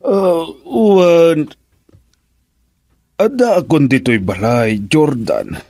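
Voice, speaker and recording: A middle-aged Filipino father in a radio play, weakened and weary. The delivery is slow, the voice husky, with a dry close sound. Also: fairly high pitch, expressive, darkish tone, dry recording, full character voice. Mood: weary, familiar. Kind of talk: radio drama acting.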